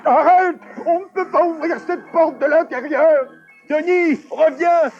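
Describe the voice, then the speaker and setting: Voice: high-pitched, measured pace. An actor voicing a flustered adult factory guide in a 1980s cartoon dub, fretting and exasperated. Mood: alarmed. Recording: dull lo-fi cartoon soundtrack with music.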